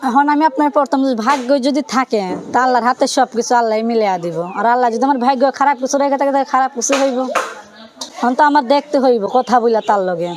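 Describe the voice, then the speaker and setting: A young woman speaks quickly in a fairly high voice. Her speech is colloquial Bangladeshi, plain and unpolished. She tends to repeat herself as she answers in an informal interview. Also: noisy background. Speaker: interviewee telling her life story.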